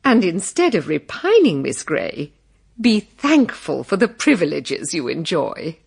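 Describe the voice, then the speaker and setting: Haughty, creaky-voiced lady employer. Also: on the low side, sing-song, unhurried, darkish tone, heavily acted. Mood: cold, scolding. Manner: condescending, lecturing. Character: haughty, unfeeling upper-class mother and employer. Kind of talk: period audio drama acting.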